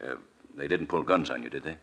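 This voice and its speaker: An actor playing an earnest, emphatic city official in a 1940s radio mystery. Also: male voice, extremely low pitch, fast talker, fairly bright tone, husky, full character voice. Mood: earnest, defensive. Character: earnest, insistent male city official under pressure.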